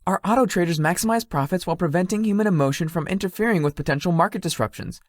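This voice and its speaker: A woman's voice: fairly low pitch, very fast, no room sound, studio quality, lightly acted. A woman voicing a shy, polite young male tech executive in a visual novel, slightly formal and hesitant in manner. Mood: earnest, mild. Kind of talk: visual novel character dialogue.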